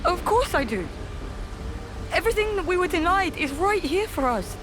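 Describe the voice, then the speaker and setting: A woman's voice: very high-pitched, very animated, moderate pace. An actress playing a bitter, defiant young woman, a devoted believer, with vehement emotion in a video game drama. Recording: voice over loud music.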